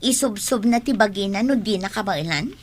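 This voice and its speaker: A woman's voice: medium pitch, animated, normal speed, a little hiss or hum, lightly acted. A woman playing an envious, argumentative young classmate in a radio drama, challenging and complaining as she talks. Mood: challenging, scornful.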